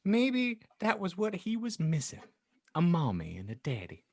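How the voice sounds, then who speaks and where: Slow, theatrical man narrating a story. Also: high-pitched, huge pitch swings, no echo. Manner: dramatic, playful, mock-grand. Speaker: podcast host and narrator. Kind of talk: theatrical audiobook reading with joking asides.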